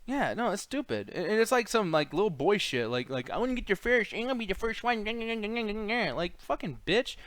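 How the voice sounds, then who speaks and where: A man's voice: high voice, expressive, moderate pace, few pauses, a bit bright, no echo. A man hosting a casual opinionated chat, talking in a foul-mouthed, ranting way. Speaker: host of a movie discussion show.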